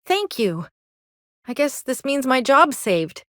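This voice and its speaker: An actress playing an anxious, earnest mechanic in a video game, with a folksy Southern US drawl and an apologetic manner. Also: female voice, high voice, sing-song, slow, dry recording, clean studio sound, full character voice. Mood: grateful. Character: anxious, earnest young colony mechanic woman fond of her robot.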